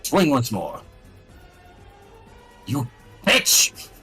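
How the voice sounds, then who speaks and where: A man's voice: mid-range voice, huge pitch swings, drawn-out words, sharp tone, breathy edge, soft background music. A man chatting casually and bluntly as a player in a tabletop role-playing game.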